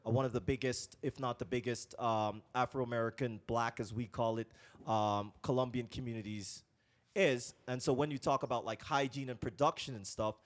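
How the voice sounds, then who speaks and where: A man's voice: mid-range voice, steady tone, normal speed. Man, podcast host, casual, rambling with fillers. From Guyana. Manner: rambling, thinks aloud with many fillers. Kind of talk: casual interview. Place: lives in Colombia.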